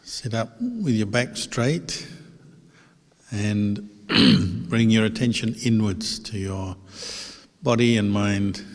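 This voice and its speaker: A man who is a meditation teacher leads a guided meditation in gentle, unhurried instructions with pauses. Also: lowish voice, expressive. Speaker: Buddhist monk, meditation teacher. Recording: long hall reverb.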